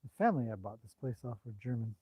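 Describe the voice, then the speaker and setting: A man's voice: mid-range voice, very fast, darkish tone, breathy edge, no echo, poor audio. A man hosting a casual outdoor livestream, chatting loosely about his horses and answering viewer comments, recorded on a cell phone. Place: outdoors by a horse paddock on a bright day.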